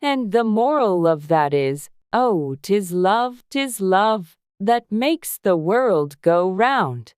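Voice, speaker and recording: A pompous, affectionate Duchess from a storybook, speaking slowly and sententiously as she hands out morals. The voice is smooth and clear, pitched well above the narrator's, in a dry recording. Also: sing-song, darkish tone, no room sound. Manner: pompous, drawn-out sententious delivery. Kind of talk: dramatized storybook audiobook acting.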